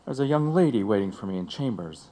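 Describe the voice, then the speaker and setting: A man's voice: fairly low pitch, lively intonation, fast talker, warm tone, close to an everyday voice. Man as a brusque, swooping trial judge. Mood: flippant. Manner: brusque, offhand. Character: biased, flippant male trial judge. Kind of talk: courtroom audio drama acting.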